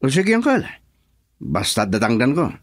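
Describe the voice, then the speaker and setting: A man's voice: mid-range voice, huge pitch swings, moderate pace, breathy edge. An actor playing an eager ex-convict henchman in an Ilocano radio drama, with a Filipino Ilocano accent. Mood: eager, sly.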